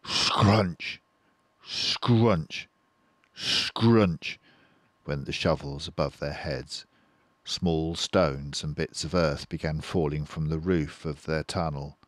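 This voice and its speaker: An older man reading a children's book aloud slowly and expressively, close to the mic in a quiet room. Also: rumbling low, dry recording. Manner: slow, expressive, doing all the voices. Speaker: storyteller.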